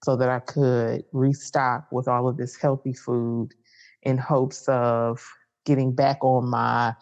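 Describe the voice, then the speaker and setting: A person in their forties co-hosting a podcast, upbeat and joking, with quick asides. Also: medium pitch, flat delivery, darkish tone, highs cut off. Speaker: podcast co-host. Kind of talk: casual chat.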